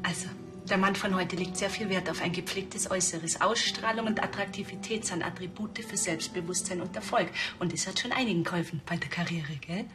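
A woman's voice: lowish voice, fairly quick, music in the background, natural voice. A woman playing a chatty, coaxing beauty salon owner with a Bavarian accent in a TV crime comedy. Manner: chatty, persuasive.

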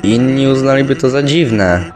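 A man voicing a laid-back, weary skeleton in a fan cartoon dub, halting and understated. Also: medium pitch, faint music behind, close to an everyday voice. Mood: weary, reassuring.

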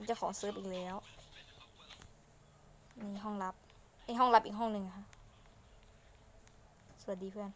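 Female gaming host, mid-pitched, casual walkthrough commentary, thinking out loud, some background noise. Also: fairly even intonation, normal speed, pauses often. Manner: informal, thinking aloud. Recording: clearly noisy home recording.

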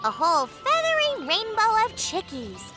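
A very high, swooping voice of a young cartoon animal tells a story over music. It is childlike, excitable and chatty. Playful, slangy asides give it a theatrical flair. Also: unhurried, heavily acted. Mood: chatty, storytelling. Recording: music behind the voice.

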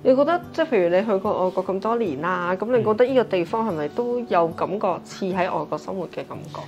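Brisk Hong Kong Cantonese interviewer woman. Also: medium pitch, animated, darkish tone, lo-fi sound, soft background music. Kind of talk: casual interview questions.

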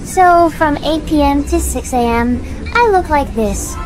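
A woman's voice: sits high, expressive, measured pace, rough recording. A woman voicing an anxious, exasperated little female jester in a cartoon comic dub, whiny and flustered. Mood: weary complaining. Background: music.

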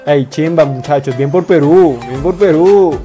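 A young man, chatting excitedly in slang as he reacts to a video in a small room, speaking into earphones. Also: a little high, a little slow, faint music behind. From Colombia. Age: 20s. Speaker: YouTube reactor. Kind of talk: casual reaction commentary. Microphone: wired earphone mic.

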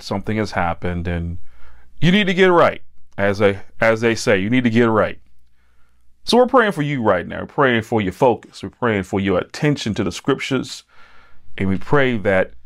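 A man preaching and praying as a pastor, earnest and deliberate in his phrasing. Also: fairly low pitch. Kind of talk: sermon and spoken prayer.

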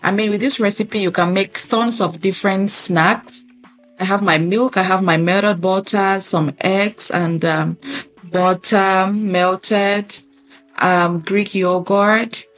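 A woman's voice: medium pitch, steady tone, takes it slowly, fairly bright tone. A woman in her thirties, a new mom, vlogging casually at home and talking to her viewers with emotion. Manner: emotional, chatty, addresses viewers as guys. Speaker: lifestyle vlogger. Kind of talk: casual vlog talk to camera. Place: home indoors.

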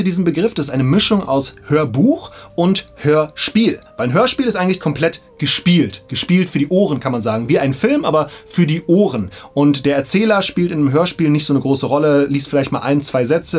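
Male presenter, thirties, slightly high husky voice, colloquial and enthusiastic, behind-the-scenes explainer. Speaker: audiobook production presenter. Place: small indoor audio studio.

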